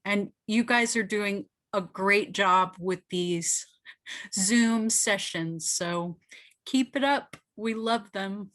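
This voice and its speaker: A woman's voice: mid-range voice, a bit bright, no room sound. A woman from the San Francisco Bay Area giving a casual comment as an online audience member.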